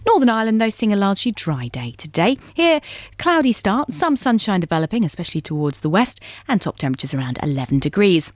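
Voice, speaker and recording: A woman's voice: mid-range voice, very animated, quick pace, dry recording, studio quality. A woman with a British accent presenting a radio weather forecast in a conversational style. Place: dry broadcast radio studio.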